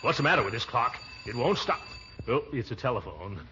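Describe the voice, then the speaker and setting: A man's voice: medium pitch, sing-song, fairly quick, close to an everyday voice. Guy playing flustered pompous uncle, sputtering and muttering, voice swoops all over, old radio sitcom, muffled and really hissy. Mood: groggy, muttering. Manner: blustering, muttering, sputtering with chuckles. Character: blustery, flustered, pompous middle-aged uncle. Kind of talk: 1940s radio sitcom acting. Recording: muffled, very noisy 1940s radio recording.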